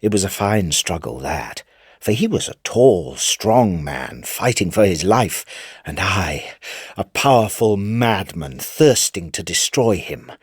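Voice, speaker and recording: Casting: a vengeful, gleeful male lunatic narrating his confession, low register, hugely animated, theatrical period-story delivery. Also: slow, husky, dry recording, clean studio sound, heavily acted. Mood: frenzied, triumphant. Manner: theatrical, swinging between hushed and fierce. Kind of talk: dramatic first-person literary reading.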